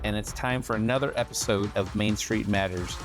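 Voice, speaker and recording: A man's voice: fairly low pitch, brisk. A man co-hosting, delivering a scripted show opening in an announcer's manner. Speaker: co-host. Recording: voice over guitar music.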